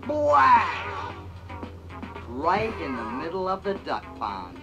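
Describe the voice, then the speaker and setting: A storybook narrator reading a children's read-along in slow, animated storytelling. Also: medium pitch. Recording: 1980s read-along record with funky music. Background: funky 80s music track.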